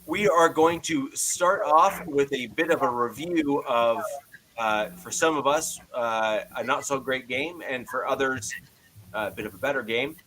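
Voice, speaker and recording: A man hosting a casual sports podcast over a video call, loud-voiced and loose, filling his sentences with "uh". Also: medium pitch, a little slow, rough recording. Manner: loose, filler-heavy, frequent "uh". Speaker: podcast host.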